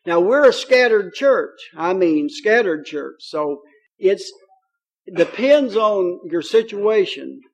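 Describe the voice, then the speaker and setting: Man, Kentucky accent, pastor, sermon, high voice, folksy, chatty fillers. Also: lively intonation, slow. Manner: folksy, joking, with many fillers.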